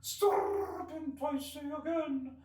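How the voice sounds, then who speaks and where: A man voicing a fretful, indignant mother pigeon from a storybook, shrill and scolding. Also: steady tone, slow pace, darkish tone, roomy sound, distant mic. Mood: shrieking alarm. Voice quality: shrill, screechy. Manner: scolding, sobbing, contemptuous.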